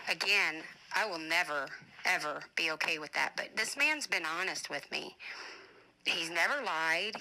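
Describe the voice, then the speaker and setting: A woman's voice: lowish voice, creaky, bright, forceful tone, poor audio. A woman speaking informally in a personal voice message, emphatic and defensive, repeating her points to drive them home. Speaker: family advocate and show host.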